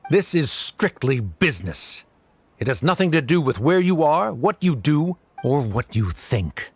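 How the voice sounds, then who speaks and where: A middle-aged actor playing a stern, condescending official in a game, speaking in a cold, formal, dismissive way. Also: male voice, fairly high pitch, very animated, measured pace, husky, faint music behind, heavily acted. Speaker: academy official.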